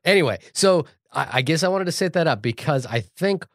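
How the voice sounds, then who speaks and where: A man's voice: on the high side, expressive, a little fast, a bit bright, no echo. New Zealand-accented man, dry close sound. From Fiji, then New Zealand. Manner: talkative, restarts and stumbles while building an argument. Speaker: podcast co-host, filmmaker. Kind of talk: casual chat. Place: small room.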